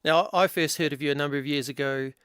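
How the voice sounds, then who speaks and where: A man's voice: fairly high pitch, fairly even intonation, quick pace, fairly bright tone, smooth, no echo, clean studio sound. A middle-aged man hosting a podcast, reading an earnest scripted intro and then chatting with his guest. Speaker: podcast host.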